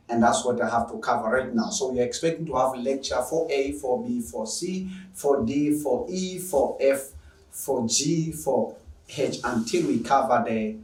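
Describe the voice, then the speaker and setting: A man's voice: medium pitch, roomy sound, distant mic, poor audio. A Tanzanian-accented man opening an online lecture, enthusiastic and repetitive. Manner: enthusiastic, repetitive, long-winded. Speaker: online teacher. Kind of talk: lecture introduction.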